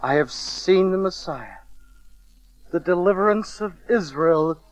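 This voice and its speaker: A man's voice: sits high, expressive, measured pace, no echo. A man acting an eager, awestruck shepherd in a dramatized audio Bible. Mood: awed, eager. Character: awestruck, eager shepherd visiting the newborn Messiah. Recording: dull lo-fi cassette transfer.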